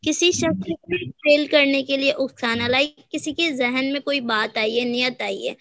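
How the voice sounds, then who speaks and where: A woman's voice: high-pitched, unhurried, fairly bright tone, highs cut off. A woman in her twenties with a Pakistani accent, a student explaining politely and mixing in English phrases. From Karachi, Pakistan. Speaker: final-year law student. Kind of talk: explaining in an online class.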